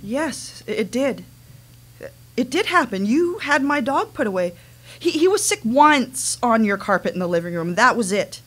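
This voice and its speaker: Woman acting a high-voiced, wounded grown daughter. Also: very animated, normal speed. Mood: accusing. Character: wounded, resentful adult daughter confronting her mother. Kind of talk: dramatic reading of a novel on stage. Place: small dimly lit stage venue. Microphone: microphone on a stand.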